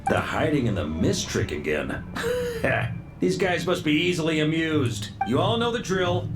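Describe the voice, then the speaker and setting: An actor playing a confident, hearty knight commander in a fantasy game, with easygoing bravado and a leader's rallying tone. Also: male voice, on the high side, sing-song, measured pace, over loud music.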